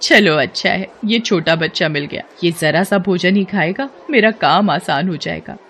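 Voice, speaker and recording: A woman's voice: fairly low pitch, moderate pace, fairly bright tone, slight background noise. A woman voicing a stingy, complaining and easily exasperated housewife in a devotional storybook tale. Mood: scheming. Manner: complaining, scheming.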